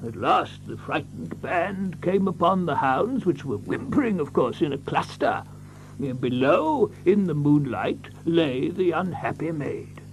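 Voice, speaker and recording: A man playing a fussy, excitable country doctor in a radio drama, stammering and repeating himself as he dithers. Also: high voice, a little hiss or hum, heavily acted, rough recording. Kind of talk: theatrical radio drama acting.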